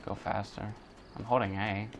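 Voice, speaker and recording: Guy doing jokey lets play asides, kinda low voice, bit of background noise. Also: little pitch movement, normal speed. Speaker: gaming video host. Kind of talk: casual off-the-cuff game commentary.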